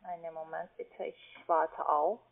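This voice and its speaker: A call centre agent, a woman speaking with a foreign, non-native accent, halting and searching for words on a phone call. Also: sits low, level tone, creaky. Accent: foreign, non-native German. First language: not German. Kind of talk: unscripted phone sales call. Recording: narrow tinny phone line.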